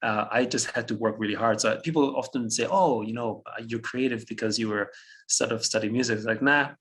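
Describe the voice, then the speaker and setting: A man's voice: mid-range voice, brisk, a bit bright. A German-speaking man in his fifties from Austria, a guest on an interview, telling his story reflectively, chuckling often and restarting phrases.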